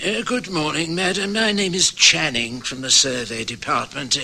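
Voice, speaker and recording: An actor playing an officious, formal survey official who hems and haws, in an old radio drama. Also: male voice, fairly high pitch, moderate pace, creaky, bright, forceful tone, exaggerated voice. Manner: hesitant, formal, full of uhs. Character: smooth-talking, officious male survey official.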